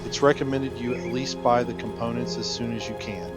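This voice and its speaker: A man's voice: medium pitch, fairly even intonation, a little fast. A man giving instructional, plainly explanatory commentary as a guide to a video game. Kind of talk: gameplay walkthrough commentary. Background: game music and battle sounds.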